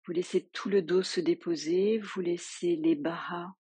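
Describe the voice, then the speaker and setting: Woman, yoga nidra teacher, very slow pace, soft husky tone, soothing guided meditation, long pauses. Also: fairly low pitch, steady tone, no room sound.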